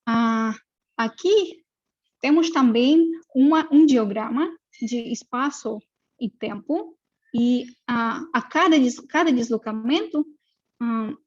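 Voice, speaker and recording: Woman, Russian accent, high pitch, breathy voice, halting academic lecture, many fillers. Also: darkish tone, dull audio. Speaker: postdoctoral researcher.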